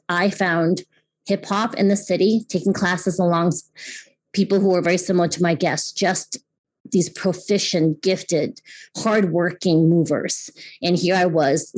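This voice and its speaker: A woman's voice: fairly low pitch, a little mellow. A woman in her fifties from Taiwan, hosting her podcast and talking in an earnest way that often restarts and repeats words. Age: 50s. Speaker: podcast host. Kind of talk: casual personal talk; scripted intro narration.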